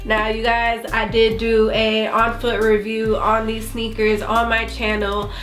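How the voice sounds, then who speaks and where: A woman's voice: a little high, monotone, unhurried, fairly bright tone. A woman with a congested, nasal voice from bronchitis, chatting casually as a sneaker vlogger with many fillers. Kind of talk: casual vlog review. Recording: echoey room with faint music.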